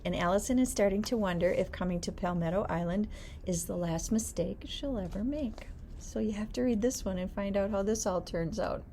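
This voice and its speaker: A woman's voice: mid-range voice, a little mellow. Female librarian, mid-range voice, reading book summaries conversationally; slightly noisy recording. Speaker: librarian presenting book picks.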